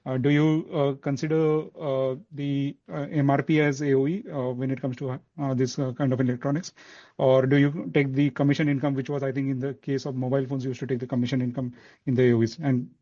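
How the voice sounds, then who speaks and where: A man with an Indian accent, a financial analyst asking questions in a halting way over a conference call. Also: medium pitch, flat delivery, dark tone, no room sound. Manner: halting, hesitant phrasing. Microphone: conference call line. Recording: dull lo-fi phone line.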